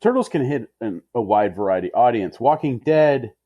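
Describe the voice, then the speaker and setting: A man's voice: medium pitch, expressive, normal speed, no echo, lo-fi sound. A man co-hosting a podcast, speaking in a casual, conversational discussion style. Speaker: podcast co-host.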